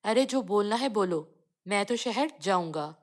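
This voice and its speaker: A woman's voice: mid-range voice, expressive, a little slow, lightly acted. A woman voicing a curious, stubborn young village boy in a children's cartoon story, with an insistent, questioning manner. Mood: defiant.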